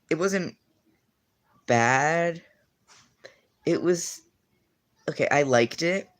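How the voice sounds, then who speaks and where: A teenage boy chatting on a livestream, talking to viewers in a loose, rambling way. He keeps restarting his sentences and stuffing them with fillers. His voice sits at an ordinary pitch for a young man. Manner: rambling, filler-heavy, self-correcting. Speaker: streamer. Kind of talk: casual livestream chat reading comments.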